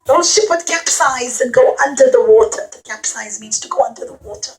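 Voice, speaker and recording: A woman telling a Bible story to young children in an expressive, teacherly way, with simple repeated phrases and questions. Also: a little high, fast.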